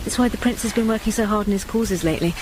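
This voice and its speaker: An actress playing a middle-aged mother in a radio drama, dry and sardonic, knowing and brisk but loving. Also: medium pitch, a little mellow, a little hiss or hum, lightly acted, rough recording.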